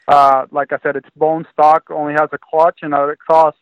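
A man in his twenties from Venezuela, a professional motocross racer, answering interview questions in a relaxed way with frequent fillers. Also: a little high, normal speed, no echo, dull audio. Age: 20s. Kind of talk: casual interview.

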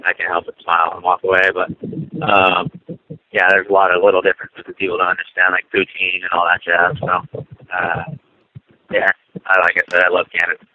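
A man's voice: sits low, a little fast, a little hiss or hum. Man on a tinny phone line. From United States. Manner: relaxed, rambling, many fillers. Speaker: motocross racer guest. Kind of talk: casual chat over the phone.

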